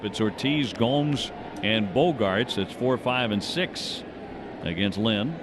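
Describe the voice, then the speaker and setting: A man's voice: lowish voice, slow. A man doing live play-by-play commentary of a baseball game as a radio announcer. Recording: noisy live ballpark radio broadcast. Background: stadium ambience and noise.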